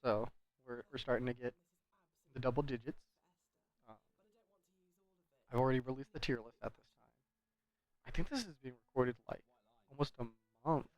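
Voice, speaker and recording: Male gameplay commentator, mid-pitched, conversational and meandering, unsure phrasing, recorded dry. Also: normal speed, pauses often, dry recording, poor audio. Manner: rambling, conversational, hedging. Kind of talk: casual game commentary.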